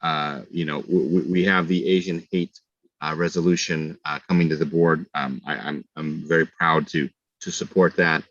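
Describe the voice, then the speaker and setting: A middle-aged man serving as a school board trustee, giving halting remarks with repeated words at a public meeting. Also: a bass voice, steady tone, a bit bright, dry recording, lo-fi sound.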